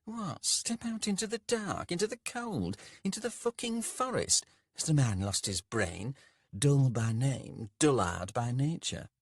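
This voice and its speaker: A man's voice: medium pitch, very animated, measured pace, husky, no room sound, clean studio sound, voice pushed up, exaggerated voice. A man voicing a plump, pompous merchant, cowardly and whiny, with a wavery, nasal, almost feminine voice. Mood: indignant.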